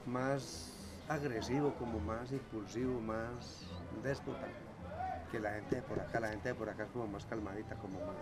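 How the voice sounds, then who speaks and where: A man's voice: fairly low pitch, steady tone, moderate pace. A middle-aged Colombian man chatting colloquially as a hardware stall owner being interviewed, often repeating and rephrasing himself. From Tuluá, Valle del Cauca, Colombia. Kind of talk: casual interview chat. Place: hardware stall in a public market. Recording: busy indoor market crowd noise.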